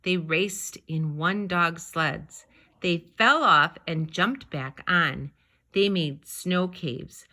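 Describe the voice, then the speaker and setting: A woman reading a children's story aloud, narrating expressively in deliberate, measured phrases. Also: lowish voice, pushed and bright. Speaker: storybook reader.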